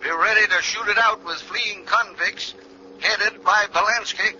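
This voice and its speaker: Casting: urgent police radio dispatcher, very high, extremely sharp voice, clipped bulletins, dull vintage radio recording. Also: male voice, a little slow, slight background noise, full character voice. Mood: urgent, commanding. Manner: clipped, repetitive, forceful announcing. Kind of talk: 1940s radio drama emergency bulletin.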